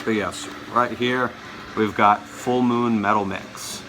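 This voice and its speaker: A man's voice: lowish voice, fairly even intonation, slow, sharp tone, a little hiss or hum, rough recording. A man vlogging in a casual chat to his viewers, rambling and often stumbling over his words and correcting himself. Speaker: vlogger showing his collection.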